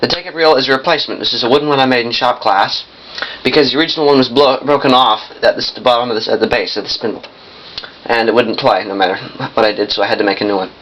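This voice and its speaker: A young man giving a casual hands-on demonstration in a halting, piecemeal way, often correcting himself. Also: mid-range voice, fairly bright tone. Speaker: vintage audio collector demonstrating a machine. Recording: muffled lo-fi camcorder sound, noisy.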